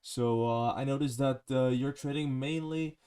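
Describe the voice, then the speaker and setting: A man's voice: mid-range voice, fairly even intonation, measured pace, warm tone, clean tone. A man hosts an interview in English. He is friendly and keeps the questions going. He leans on "uh" between phrases as he talks. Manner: friendly, asks questions with frequent "uh" fillers. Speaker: interview host. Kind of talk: hosted interview over video call.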